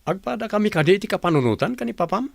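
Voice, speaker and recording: A man's voice: sits high, animated, racing, gravelly, no echo. A man with a Filipino accent acting a frank young confidant in a comedy radio drama, incredulous and chiding.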